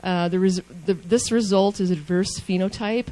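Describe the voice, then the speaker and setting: A woman who farms organically and studies for a doctorate, giving a conference talk over slides, quick and informal with many ums and restarts. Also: mid-range voice, fairly even intonation, mellow, low-quality recording. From New Mexico. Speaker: panel speaker, organic farmer and doctoral student. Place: conference hall. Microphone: handheld mic.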